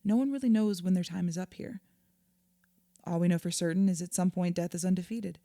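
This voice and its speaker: A woman acting in an audio drama as a calm, patient therapist, speaking in a measured, reassuring, conversational way. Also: lowish voice, no echo, studio quality, natural voice. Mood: thoughtful.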